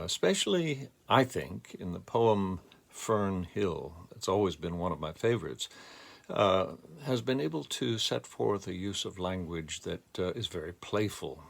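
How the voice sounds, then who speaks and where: A man with a gravelly voice speaking in a deliberate, measured way, as a poetry reader reflecting and reciting expressively. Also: deep voice. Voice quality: gravelly, creaky voice.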